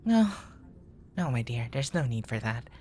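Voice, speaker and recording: A man playing a charming, smitten young nerd in an ASMR boyfriend roleplay, murmuring softly in courtly, poetic phrases. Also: mid-range voice, very animated, unhurried, a little hiss or hum. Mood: tender, teasing.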